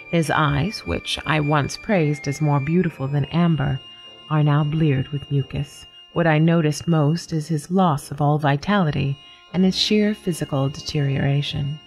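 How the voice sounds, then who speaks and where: A woman playing a nameless, observant house cat, narrating a literary radio story in a measured, formal, deadpan way. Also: deep voice, poor audio. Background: music.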